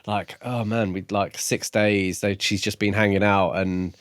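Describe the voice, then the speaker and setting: Male podcast co-host, lowish pitch, dry close sound, casual and musing book talk with a jokey streak. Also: flat delivery, measured pace, smooth, no room sound. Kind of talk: casual book discussion chat.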